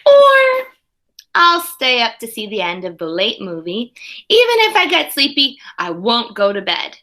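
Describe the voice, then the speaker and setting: A woman's voice: a little high, wildly swooping pitch, a little slow, sharp tone. A woman teaching young children, reading a storybook aloud expressively and chatting to them in conversational asides. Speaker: early primary school teacher.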